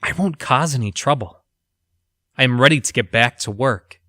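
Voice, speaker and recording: A man playing a weary, troubled lab researcher gravely and articulately reading his journal in an audio drama. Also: mid-range voice, lively intonation, normal speed, pushed and bright, no room sound, studio quality. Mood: weary, defensive.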